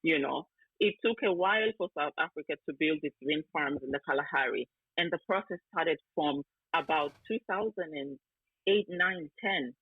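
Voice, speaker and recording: Man from south sudan on a video call panel, husky breathy voice, thin phone-like sound, earnest, trips over words sometimes. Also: high voice, dry recording. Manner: earnest, repeats words while searching for them. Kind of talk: online panel discussion. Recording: tinny phone-like call audio.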